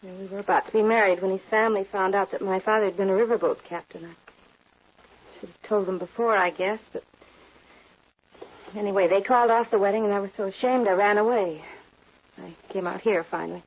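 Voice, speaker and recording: A troubled young saloon girl, acted by a woman, confiding in a husky, breathy voice of middling pitch. It is an emotional old-time radio western with a muffled sound. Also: steady tone, brisk, natural voice. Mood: ashamed, confiding. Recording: dull vintage radio recording.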